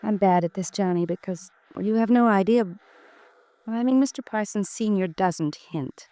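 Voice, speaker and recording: A woman's voice: mid-range voice, normal speed, warm tone, no room sound, lightly acted. An actress playing a coaxing, evasive American society wife in her early thirties in a 1950s radio drama.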